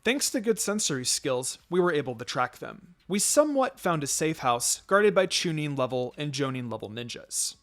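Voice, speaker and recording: A man's voice: on the high side, very animated, moderate pace, few pauses, fairly bright tone. A man reading a first-person fan fiction story as scripted narration.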